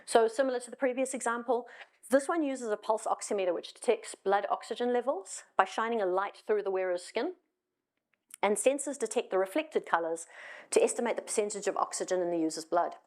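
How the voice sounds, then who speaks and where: A woman's voice: fairly high pitch, fairly quick, a little mellow. South African woman giving a conference talk. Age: middle-aged. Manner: conversational, wry asides, frequent ums. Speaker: conference speaker. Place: stage in a hall.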